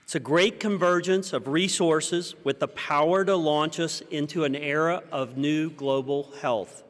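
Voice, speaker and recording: An American man in his fifties giving a prepared conference keynote at a podium, with measured, rhetorical delivery and deliberate pauses. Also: fairly high pitch, steady tone. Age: 50s. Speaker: keynote speaker. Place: large conference hall stage, indoors. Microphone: podium microphone. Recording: reverberant hall sound.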